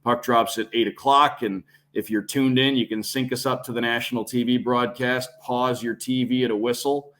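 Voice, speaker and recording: A man's voice: mid-range voice, steady tone, normal speed, few pauses, a bit bright. A man who works as a sports radio broadcaster, explaining details fluently in a conversational podcast guest style.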